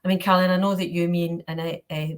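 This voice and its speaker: A woman's voice: fairly low pitch, flat delivery, very fast. A woman hosting an informal panel discussion, talking in a chatty, rambling way. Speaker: panel host.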